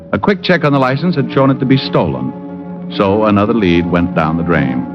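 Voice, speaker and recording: Flirtatious, smart-aleck 1940s radio gumshoe, lively swooping delivery, music behind a dull vintage recording. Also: male voice, lowish voice. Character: wisecracking male private detective narrating his case. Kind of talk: 1940s radio acting, hard-boiled narration.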